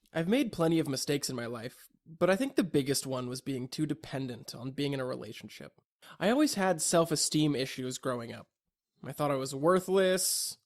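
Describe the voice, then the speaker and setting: A young man with a slightly high voice narrates a personal audio log. He speaks earnestly and deliberately, as if reading a prepared, introspective reflection. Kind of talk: scripted reflective personal monologue.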